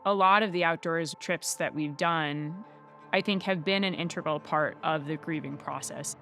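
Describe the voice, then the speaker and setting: A woman's voice: low-pitched, normal speed, bright, forceful tone, soft background music. A young adult woman speaking reflectively in a documentary interview. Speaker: interviewee, daughter.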